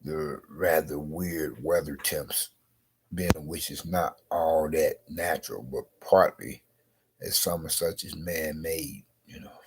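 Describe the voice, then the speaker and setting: A man vlogging slowly and rambling, congested with a scratchy throat, repeating "you know". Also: a bass voice, fairly even intonation. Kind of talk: rambling personal vlog.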